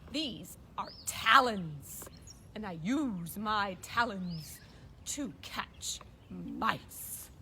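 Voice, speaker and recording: Proud puppet hawk, female performer: stately, self-admiring, slow delivery, noisy recording. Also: medium pitch, expressive, bright, forceful tone, pitched below the performer's own voice, heavily acted. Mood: haughty. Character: proud, haughty red-tailed hawk. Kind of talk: children's puppet show.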